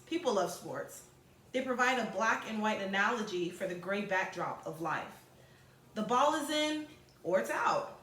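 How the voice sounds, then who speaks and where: A woman's voice: fairly high pitch, fairly bright tone, roomy sound. A woman teacher reading aloud to students, expressively, with informal chatty asides and explanations.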